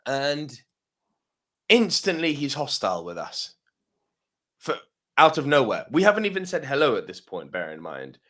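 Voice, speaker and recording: A man's voice: mid-range voice, big pitch swings, normal speed, pauses often, fairly bright tone. A man co-hosting a podcast, telling a long story casually, swearing freely, laughing often and asking "yeah?" as he goes. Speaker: podcast co-host.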